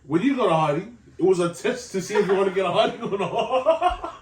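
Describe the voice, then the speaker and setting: A man's voice: high-pitched, animated, brisk, a little hiss or hum. A young man from New Zealand with a New Zealand accent, playfully joking and ribbing his wife in casual banter. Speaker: co-host of couple food channel. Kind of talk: casual banter between partners. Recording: echoey room, mic at a distance.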